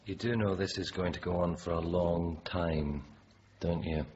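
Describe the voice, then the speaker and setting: An actor playing a menacing, controlled hostage-taker in a radio drama, giving terse commands. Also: male voice, low-pitched, unhurried, long reverb tail. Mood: cold, threatening. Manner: terse, commanding, flat orders. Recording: dull, noisy radio sound.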